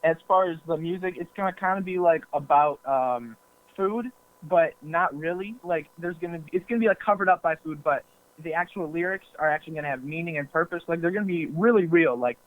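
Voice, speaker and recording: A man's voice: on the high side, brisk. A young man, a podcast guest, chatting casually with a lot of ums and easy laughs. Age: young adult. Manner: laughing easily, hesitant, filler-heavy. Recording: tinny phone-line sound.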